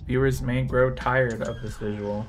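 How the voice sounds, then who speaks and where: A man's voice: mid-range voice, fairly even intonation, moderate pace. A young American man narrating an opinionated commentary video, emphatic and at times exasperated. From United States. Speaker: video essay creator. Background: background music.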